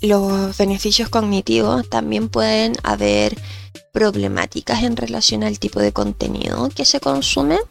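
A woman's voice: medium pitch, vocal fry. A woman hosting a podcast, sounding nasal from allergies and explaining thoughtfully with fillers and restarts. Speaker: podcast host and researcher.

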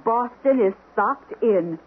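An actress playing a nervous, hesitant wife in an old-time radio drama, on a tinny, hissy recording. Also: female voice, on the high side, expressive, slow pace. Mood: worried. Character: anxious wife waiting for a missing guest.

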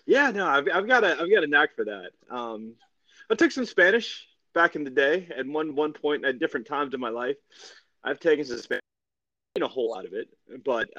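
A man co-hosting a wrestling podcast, chatting casually about sport in a halting way, repeating phrases as he searches for words. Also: mid-range voice, sharp tone, no echo, lo-fi sound. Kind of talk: casual sports recap chat.

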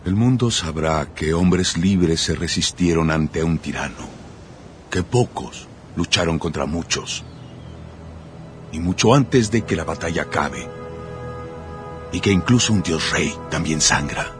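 An actor playing a proud, sardonic Spartan warrior king in a dubbed epic film, speaking in a measured, dryly defiant way. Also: extremely low pitch, lively intonation, soft background music. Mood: solemn defiance. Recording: noisy film soundtrack clip.

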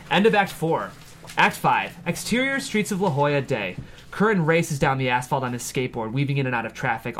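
A man's voice: mid-range voice, fairly bright tone, a little hiss or hum. A man reading screenplay stage directions aloud at a table read, with dramatic scripted narration.

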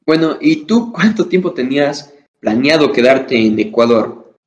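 Young male podcast host, rambling questions, many fillers, mid-pitched voice. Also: animated, measured pace, lo-fi sound. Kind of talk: casual interview.

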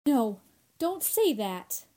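Woman doing grieving young roommate in radio horror play, super high voice, slow n plaintive, fretful. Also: very animated, warm tone, heavily acted. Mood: weary, fretful. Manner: plaintive, pleading. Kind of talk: radio drama horror acting.

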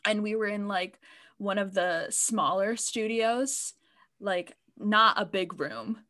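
Bright young woman telling stories. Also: medium pitch, slow pace. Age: young adult. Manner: animated storyteller, giggly. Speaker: podcast co-host. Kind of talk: casual chat.

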